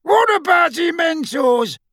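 Shrieking, high-pitched menacing dark wizard henchman. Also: animated, dry recording, studio quality, full character voice. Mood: callous, eager. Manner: yelling, drawn-out taunting calls. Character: menacing Death Eater, hooded dark wizard hunting his prey. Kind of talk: audiobook character voice.

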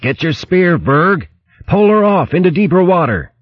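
A man voicing a wary, commanding animal sea captain in a storybook, giving decisive, gruff seafaring orders. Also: deep voice, sing-song, a little slow, no echo, muffled recording, exaggerated voice. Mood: urgent.

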